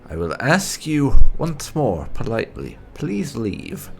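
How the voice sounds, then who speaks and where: A man's voice: mid-range voice, huge pitch swings, slow pace, gravelly, slight background noise. A man role-playing a game character in a cheeky, teasing, thoughtful way. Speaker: gaming channel player role-playing his character. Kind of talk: in-character roleplay of game dialogue.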